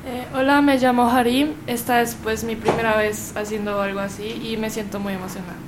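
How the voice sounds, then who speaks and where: A woman's voice: fairly high pitch, flat delivery, brisk, echoey room, noisy background. A teenage girl raised in Colombia, speaking eagerly and a little nervously as a student radio guest. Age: teens.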